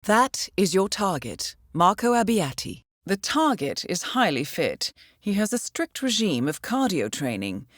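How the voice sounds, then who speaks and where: Casting: game intelligence handler, female, composed and understated, slightly low pitch, precise formal briefings, very dry recording. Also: big pitch swings, no echo. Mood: cool, efficient. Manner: measured, formal, coolly understated. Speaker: mission handler. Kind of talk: scripted video game voice acting, mission briefing.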